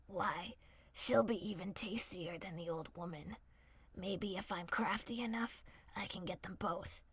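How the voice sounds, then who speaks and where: A woman voicing a sly, wicked male wolf in a fairy tale, hushed and coaxing as he schemes. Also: on the low side, unhurried, slightly rough, dry recording, pitched below the performer's own voice. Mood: scheming.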